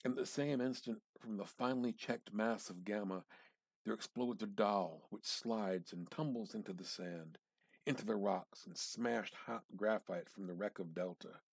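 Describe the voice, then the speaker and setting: A man reads literary fiction aloud at a very slow pace, leaning into dramatic pauses. His voice is fairly low and noticeably husky. The delivery is deliberate and intense. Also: no echo. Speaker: amateur reader.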